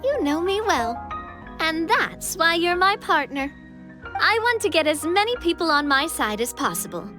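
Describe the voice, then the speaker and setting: A woman voicing a confident, gracious young female leader in a video game, poised, earnest and expressive. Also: extremely high pitch, moderate pace, music in the background. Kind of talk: video game cutscene acting.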